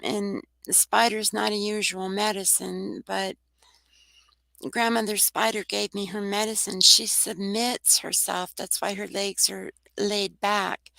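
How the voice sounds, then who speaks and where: Soft-voiced woman with gravelly fry. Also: mid-range voice, no echo, rough recording. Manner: earnest, rambling with restarts. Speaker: guest. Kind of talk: casual storytelling.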